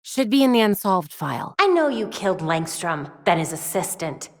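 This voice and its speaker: A woman voicing a young American superheroine in a video game, sharp, determined and dryly witty. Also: on the low side, big pitch swings, normal speed, no echo. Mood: focused, serious. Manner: dry, wry asides.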